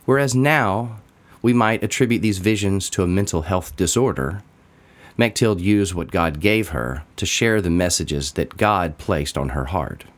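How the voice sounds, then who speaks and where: A man with a low-pitched voice narrates a devotional. He reads slowly from a script, setting each phrase apart with deliberate pauses. The delivery is measured throughout. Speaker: reader of a daily devotional.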